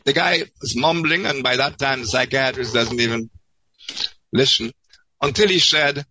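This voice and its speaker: A man telling an anecdote in an interview, halting and repeating words as he searches, then landing them emphatically. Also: medium pitch, background noise. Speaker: podcast guest.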